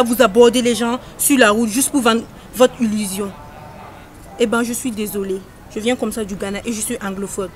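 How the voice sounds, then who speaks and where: A woman acting in a comedy sketch, loud and scolding. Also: a little high. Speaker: comic actress. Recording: noticeable background hiss.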